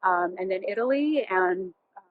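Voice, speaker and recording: A woman's voice: mid-range voice, lively intonation, normal speed, phone-quality sound. A woman in her thirties whose first language is Russian, telling personal stories casually as an interview guest. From born near Chernobyl, emigrated as a child to California. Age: 30s. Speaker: interview guest, realtor and former firefighter.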